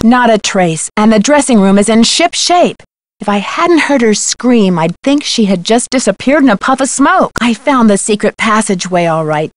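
A woman's voice: a little high, no room sound, natural voice. A woman playing a plucky, determined young amateur detective in a video game, earnest and inquisitive. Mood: worried. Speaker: voice actress in a detective adventure game. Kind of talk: scripted video game dialogue.

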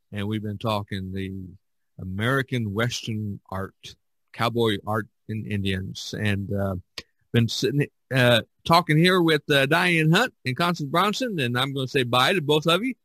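A man hosting a podcast in casual discussion, halting and repeating himself as he searches for words. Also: medium pitch, sharp tone, no echo. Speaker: podcast host.

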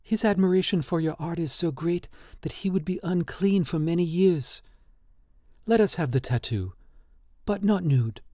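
A storybook reader voicing a blunt, doubtful young woman who speaks softly. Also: medium pitch, moderate pace, no echo, clean studio sound, voice pushed up, lightly acted. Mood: wary.

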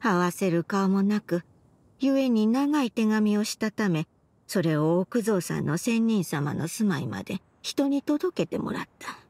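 A remorseful old grandmother from a fantasy game, tells of her past slowly and gravely. Her voice is husky and mid-pitched, with old-fashioned elderly phrasing. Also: lively intonation, darkish tone. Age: older. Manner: slow, archaic elderly way of speaking. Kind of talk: Japanese game voice acting, dramatic monologue.

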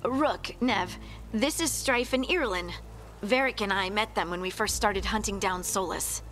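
An actress playing a friendly, plain-spoken scout who guides the group in a video game cutscene. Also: female voice, medium pitch, measured pace, fairly bright tone, a little hiss or hum, natural voice. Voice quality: friendly, direct.

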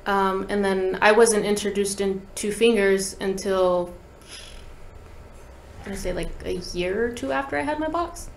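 A young adult woman with a mid-pitched voice talks as a guest in a casual interview. Her way of speaking is informal and slangy. The recording is roomy and echoey, with some noise behind her. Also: unhurried, creaky. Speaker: guest musician, talk box player. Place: small indoor podcast studio. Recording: roomy echo, background noise.

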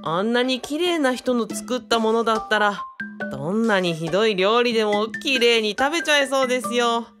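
A woman's voice: on the high side, animated, moderate pace, over music, lightly acted. A woman playing a polite, easily impressed male companion in a radio drama, reacting with wonder. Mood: wistful, impressed. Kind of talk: hobby radio drama dialogue.

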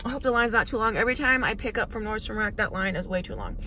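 A young woman talks casually to her own camera, rambling and full of filler words. Her voice sits at a middle pitch. A faint background noise runs under her, recorded at arm's length at home and in a car. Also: fast talker, pushed and bright. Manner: chatty, rambling, lots of "like" fillers. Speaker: lifestyle vlogger. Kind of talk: casual vlog chat to camera. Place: at home, then in a parked car. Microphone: handheld camera or phone at arm's length.

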